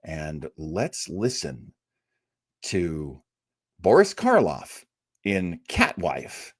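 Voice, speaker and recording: A man's voice: low-pitched, wildly swooping pitch, very slow, husky, no echo, clean studio sound. A man hosting a casual live stream, talking in an enthusiastic, rambling way and acting out bits of conversation. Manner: enthusiastic, rambling, acts out quotes. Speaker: livestream host.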